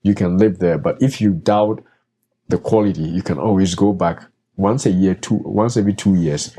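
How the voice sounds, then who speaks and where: A man's voice: sits low, moderate pace, warm tone, slightly rough. A man, a doctor, giving casual practical advice in a conversational way, with frequent fillers and restarts. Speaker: doctor and co-host.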